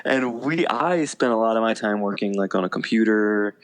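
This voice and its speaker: A man's voice: lowish voice, normal speed, clean tone. A man in his thirties, a podcast guest, telling stories in easy casual chat with frequent fillers and laughs. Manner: relaxed storyteller, laughs often, many fillers. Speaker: podcast guest, veteran and teacher.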